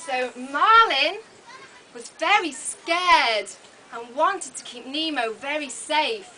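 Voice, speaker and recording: Woman doing kids puppet show storytelling, super high bright voice, slow, camera far off in noisy hall. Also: expressive. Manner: exaggerated, questioning, addressing children in simple phrases. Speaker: costumed storyteller narrating a children's puppet show. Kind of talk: animated storytelling to young children. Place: open-sided thatched hall, on a small stage. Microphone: camera some metres away. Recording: noisy open-hall recording, camera at a distance.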